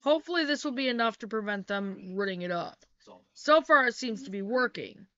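Bright-voiced woman reading a how-to. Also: on the high side, lively intonation, brisk, no echo. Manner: plain, practical, with small self-corrections. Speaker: homesteading vlogger. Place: small wood-paneled room indoors. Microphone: laptop webcam. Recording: dull, lo-fi webcam sound.

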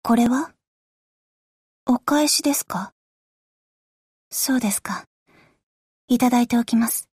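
A woman voicing an aloof, stoic warship girl in an anime game, with a deadpan, restrained delivery. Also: on the high side, a little slow, long pauses, breathy edge, no echo, studio quality. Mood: cool, reserved.

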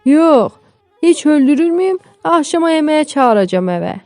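A woman's voice: sits high, moderate pace, a little mellow, no room sound, soft background music, pitched above the performer's own voice, lightly acted. A woman voicing a brash, teasing peasant father in a storybook, blunt and rustic, calling out bossily. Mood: teasing, bossy.

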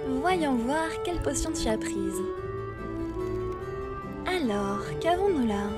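Woman voicing excitable, high-pitched young witch girl. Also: slow, over loud music. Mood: musing, tender. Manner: expressive, exclamatory, talks to herself. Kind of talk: animated cartoon dubbing.

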